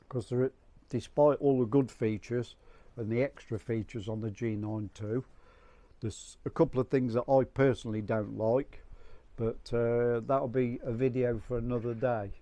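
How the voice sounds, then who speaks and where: A middle-aged man with a cold, croaky and hoarse, chatting casually to camera in a rambling, friendly vlog. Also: medium pitch, dark tone. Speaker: vlogger.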